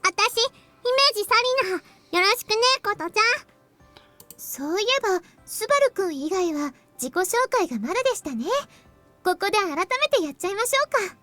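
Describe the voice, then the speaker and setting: A cute, friendly anime girl speaks in a very high, bright voice. It is dry, close visual novel acting with faint music behind it. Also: sing-song, fast, no room sound, full character voice. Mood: sweet, friendly.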